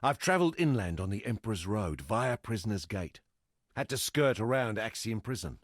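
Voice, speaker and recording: An actor playing a gruff, weary exile fighter in a fantasy video game, a plain-spoken, wry camp leader with a gravelly voice. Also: male voice, lowish voice, big pitch swings, normal speed, dry recording, studio quality. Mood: weary, practical. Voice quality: gravelly, husky. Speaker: non-player quest character.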